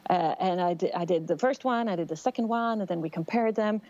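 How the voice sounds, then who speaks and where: A woman's voice: on the low side, fairly quick, darkish tone, highs cut off. A middle-aged woman from Sweden with a Swedish accent, giving a thoughtful interview answer with many fillers and restarts. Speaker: telecom executive guest. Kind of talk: conversational interview.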